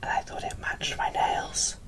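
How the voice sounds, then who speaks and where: A woman from the UK whispering softly and breathily as she hosts an ASMR unboxing, in an unhurried way. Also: distant mic. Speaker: host of an ASMR doll unboxing channel.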